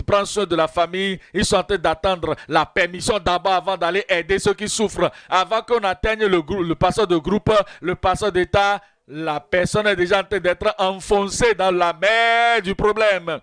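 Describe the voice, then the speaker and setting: Man preaching a bible study, highish voice, dry close sound, says each verse twice. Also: a bit bright, clear, resonant tone, dry recording. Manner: slow, measured teaching that repeats verse references and key phrases. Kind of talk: sermon.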